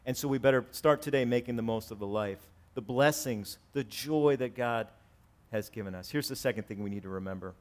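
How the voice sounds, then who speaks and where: A man in his forties preaching a sermon to his congregation, swinging between raised, emphatic lines and quiet, conversational asides. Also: medium pitch, brisk. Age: 40s. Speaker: pastor. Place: church sanctuary with congregation.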